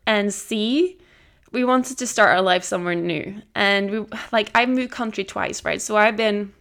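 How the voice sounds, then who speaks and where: Chatty woman with vocal fry. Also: mid-range voice, a little slow. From Norway. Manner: chatty, frequent "like" fillers, excited retelling. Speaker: podcast host. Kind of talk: casual solo podcast chat.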